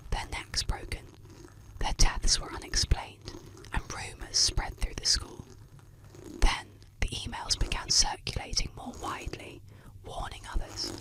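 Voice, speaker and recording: An ASMR narrator speaks entirely in a breathy whisper, very close to the microphone. The delivery is soft, soothing and measured, like a scripted bedtime telling of eerie legends, with a faint crackle of fry in the voice. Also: medium pitch. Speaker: ASMR host and storyteller.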